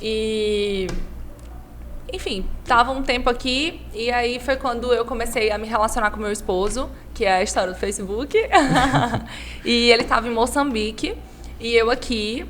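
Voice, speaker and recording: A woman's voice: a little high, unhurried, fairly bright tone, slight background noise. A woman pastor from Imperatriz, telling her life story as a podcast guest. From Imperatriz, Brazil. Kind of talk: personal storytelling.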